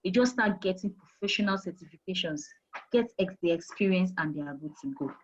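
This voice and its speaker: A woman's voice: fairly low pitch, fairly quick, lo-fi sound. A woman with a Nigerian accent, an HR manager giving career advice in an interview, who talks emphatically, repeats her key points and asks rhetorical questions. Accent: Nigerian English. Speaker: HR manager guest.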